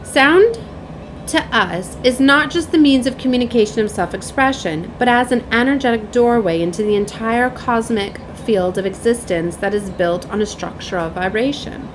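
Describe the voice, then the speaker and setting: A woman with a fairly high voice delivers a spiritual oracle reading. She speaks in a deliberate, solemn way, as if guiding the listener. Slight noise sits behind her voice. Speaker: tarot and oracle card reader. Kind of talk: spiritual reading, read aloud with asides. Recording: clear background noise.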